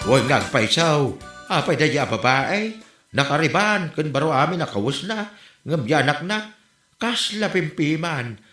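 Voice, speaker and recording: A man's voice: fairly high pitch, very animated, moderate pace, sharp tone, soft background music. A man playing a blunt, gossipy villager in a comedy audio drama, grumbling conversationally with a northern Philippine accent. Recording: roomy, echoey voice recording.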